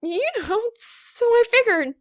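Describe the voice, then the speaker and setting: A high-voiced, flustered college girl, played by a woman reading a story. She stammers and blurts, earnest but quick to get defensive, in a dry audiobook recording. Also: expressive, fairly bright tone, no echo, full character voice. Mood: nervous, stammering.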